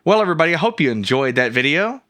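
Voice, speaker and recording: A man hosting an informal, jokey, rambling software walkthrough in a casual screencast style. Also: on the high side, big pitch swings, rapid-fire. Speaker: host of a software review show.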